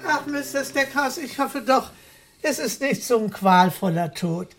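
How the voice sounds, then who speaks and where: A polite, deferential housekeeper. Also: female voice, sits high, animated, a little slow, faint music behind. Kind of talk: radio play acting.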